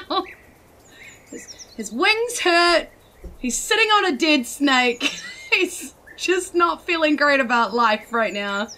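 A woman's voice: on the high side, sharp tone. A woman with a New Zealand accent, giggly and casual, narrating as a player in a tabletop game. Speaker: tabletop role-playing player. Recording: faint background noise.

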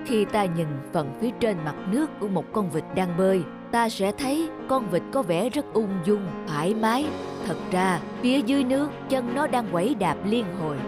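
Woman doing a calm wise middle aged man teacher in a cartoon, measured, music behind. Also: mid-range voice, close to an everyday voice. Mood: calm, instructive. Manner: measured, instructive. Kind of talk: cartoon dubbing. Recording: music under the voice.

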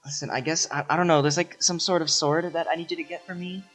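A man playing a flustered, impatient, foul-mouthed young sorceress in an improvised comedy fandub, rambling and halting. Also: on the high side, fairly even intonation, a bit bright, soft background music.

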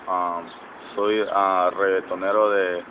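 A man's voice: deep voice, level tone, slow. A man in his twenties from the Atlantic coast of Nicaragua, a reggaeton artist answering interview questions outdoors with many fillers and restarts. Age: 20s. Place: outdoors by a sports field in a city. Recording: lo-fi with street and traffic noise.

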